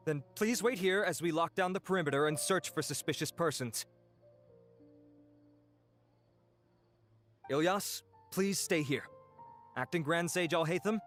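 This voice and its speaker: A man's voice: on the high side, fairly quick, a bit bright, soft background music, close to an everyday voice. A man playing a dutiful investigating officer in a video game, speaking formally and respectfully in a businesslike way. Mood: compliant, orderly.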